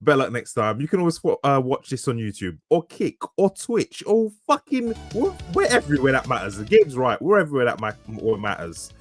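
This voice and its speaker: A man's voice: medium pitch, sing-song, a little fast, few pauses, faint music behind. A man co-hosting a podcast, rambling and laughing often, with frequent swearing. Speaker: podcast co-host, author. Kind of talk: casual banter. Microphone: studio mic.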